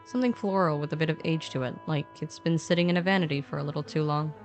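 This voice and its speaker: A woman with a fairly low voice narrates a fiction podcast. She describes scenes in short conversational phrases. Music is clearly audible behind her. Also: fairly even intonation, quick pace. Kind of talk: scripted story narration.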